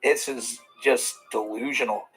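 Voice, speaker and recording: A man with a husky, slightly breathy voice giving sarcastic commentary. He runs down a streamer's claims in a critical, mocking tone. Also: medium pitch, fairly even intonation, unhurried, a bit bright, faint music behind. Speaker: commentary channel host. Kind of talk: reaction commentary.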